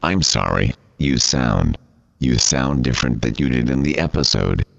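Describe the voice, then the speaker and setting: A synthetic computer voice playing a formal, easily flustered male interviewer, very deep and gravelly. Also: animated, normal speed, heavily acted. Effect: computer text-to-speech voice. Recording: dull, muffled audio.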